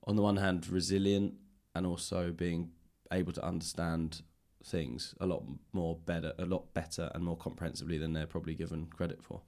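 Male podcast interviewer, deep voice, casual and tentative, questions that wander as he thinks. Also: flat delivery, brisk, few pauses, darkish tone. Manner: tentative, rambling phrasing with hedges. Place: indoors, upper floor of a public library.

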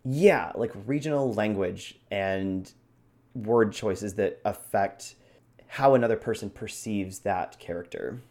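Low-voiced man giving roleplay tips. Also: slow pace, a little mellow. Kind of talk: conversational instruction on character voices.

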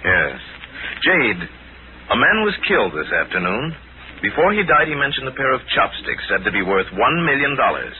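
An actor playing a cool, urbane crime-fighting detective, measured and courteous with authority, in a 1940s radio drama. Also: male voice, medium pitch, sing-song, close to an everyday voice. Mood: probing. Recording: tinny old radio recording, faint noise.

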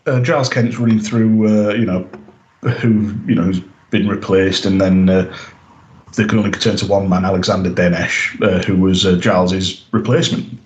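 A man's voice: deep voice, steady tone, normal speed, echoey room, muffled recording. A man co-hosting a podcast, recapping an episode in casual chat, rambling with lots of "you know" and "uh". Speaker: podcast co-host.

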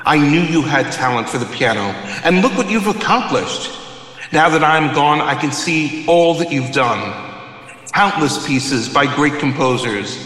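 An actor playing the solemn, preachy ghost of a dead mogul in an audio play. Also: male voice, a little high, fairly bright tone. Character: ghost of a dead corporate mogul, cleansed and unrepentant. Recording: echoey hall with long reverb.

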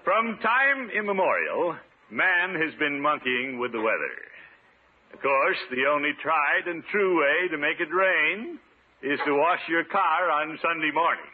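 An actor playing a pompous, self-satisfied middle-aged water commissioner in 1950s radio comedy style, theatrical and given to chuckling. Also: male voice, fairly high pitch, expressive, a little slow. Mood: pompous oratory. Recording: noisy, narrow-band 1950s radio recording.

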